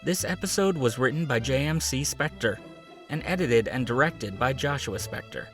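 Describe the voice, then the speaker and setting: A male announcer reading a clear, promotional scripted outro for an audio drama podcast. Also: mid-range voice, animated, moderate pace, a bit bright, faint music behind.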